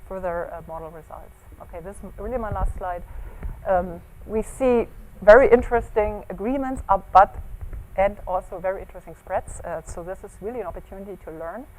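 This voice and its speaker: A woman's voice: lowish voice, a little mellow, poor audio. Woman giving a seminar talk, background noise. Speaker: academic researcher presenting a project at a seminar. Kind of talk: semi-scripted scientific conference talk with slides. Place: indoor seminar room.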